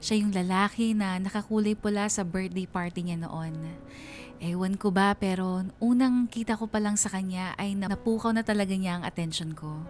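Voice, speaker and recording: Young female storyteller, melancholy, sentimental, confiding radio drama narration, medium pitch, music underneath acted by a woman. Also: normal speed, darkish tone, lightly acted. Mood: troubled.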